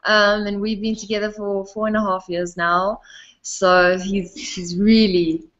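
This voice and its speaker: A young woman with a South African accent chats easily in an interview, breaking into small laughs as she talks. Her voice sits at a middle pitch, and the recording sounds a little dull and lo-fi. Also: measured pace. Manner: giggly, relaxed, often laughs between sentences. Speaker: interviewee, singer. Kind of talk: casual interview chat.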